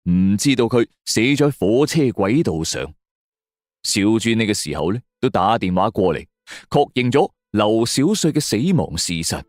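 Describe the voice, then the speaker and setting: Male audiobook narrator, fairly deep register with expressive melody, telling crime suspense in everyday colloquial style. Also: no room sound, studio quality. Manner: colloquial storyteller delivery. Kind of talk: dramatic suspense story narration in first person.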